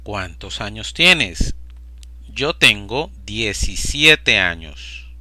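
Man, very slow deliberate delivery, sharp bright tone, dry close sound. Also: fairly low pitch, sing-song, no echo. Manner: slow, deliberate, evenly paced. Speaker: Spanish teacher. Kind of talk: slow repetition drill for learners.

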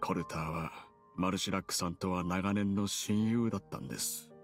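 An actor playing a calm, formal, loyal aide in a Japanese video game. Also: male voice, low voice, moderate pace, soft background music. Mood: sympathetic. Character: composed, polite male aide, steady and loyal.

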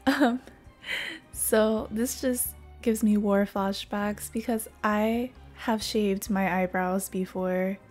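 A young woman streamer rambles playfully over a game at a very slow pace. Her voice is a little hoarse, close on the mic, with game music underneath. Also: mid-range voice, fairly even intonation, darkish tone. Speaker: VTuber streamer. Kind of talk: casual livestream chat over a game.

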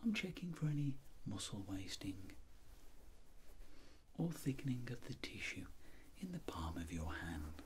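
A middle-aged man plays a reassuring doctor in an ASMR role-play. His voice is soft and breathy, mostly whispered, rising and falling noticeably as he goes very slowly and deliberately through each step. There is faint background noise behind him. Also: on the low side, big pitch swings. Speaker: ASMR performer playing a doctor examining a patient.

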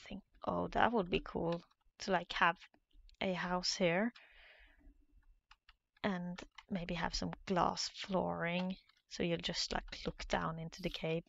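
A woman's voice: on the low side, little pitch movement, slow pace, gravelly, lo-fi sound. A Norwegian woman with a Norwegian accent, hesitantly commentating her own Minecraft play in a casual, nervous way. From Norway. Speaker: Minecraft let's player.

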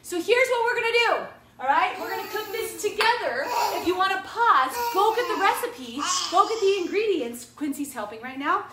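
A high-pitched woman chatters through a cooking demo in her home kitchen, upbeat and poking fun at herself as she goes. The sound comes from a camera set back across the room, so her voice bounces around the kitchen with a steady hiss of background noise underneath. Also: moderate pace, hardly any pauses. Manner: chatty, self-mocking, upbeat, talks to viewers as "you guys". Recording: echoey kitchen, distant mic, background noise.

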